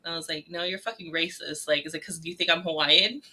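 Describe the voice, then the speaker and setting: Bright-voiced young woman telling stories. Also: low voice, fairly even intonation, quick pace. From California. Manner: storytelling, swears casually, mimics others in quotes. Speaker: podcast co-host. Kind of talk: casual chat.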